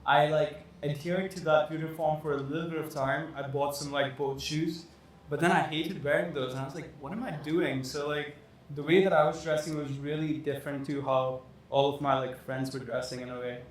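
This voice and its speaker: A man's voice: a little high, fairly even intonation, brisk. A young man who grew up in Dubai, talking casually as a guest, rambling with lots of fillers. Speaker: fashion designer guest. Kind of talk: casual interview talk. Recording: echoey room with faint noise.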